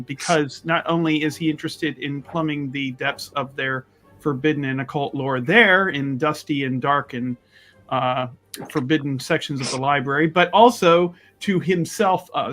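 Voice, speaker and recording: A man playing a tabletop roleplaying game, talking casually but wordily, in halting phrases full of grand vocabulary. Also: mid-range voice, a bit bright.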